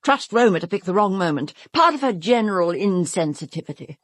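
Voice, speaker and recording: An actress playing an imperious, theatrical stage-star wife in a radio drama, commanding and pointed beneath sweet endearments. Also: female voice, mid-range voice, very animated, a little fast, no echo, studio quality. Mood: scornful.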